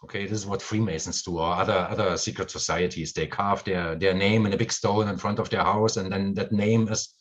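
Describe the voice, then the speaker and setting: A man's voice: deep voice, fairly even intonation, brisk, few pauses, fairly bright tone. A man with a German accent, hosting a casual live stream and rambling with many fillers and restarts. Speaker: host.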